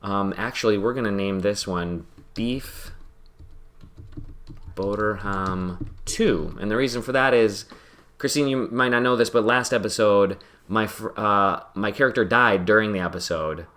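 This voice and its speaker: Rambling man, a bit low-voiced. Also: unhurried, a bit bright. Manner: rambling and self-deprecating, with many fillers and restarts. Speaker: podcast host playing a video game. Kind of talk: casual chat while gaming.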